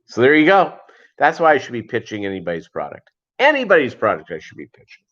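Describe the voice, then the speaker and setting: A middle-aged American man chatting casually and unscripted on a livestream, rambling and halting with a jokey manner. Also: mid-range voice, wildly swooping pitch, a bit bright. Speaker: fishkeeping livestream host and voiceover performer. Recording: dull lo-fi livestream audio.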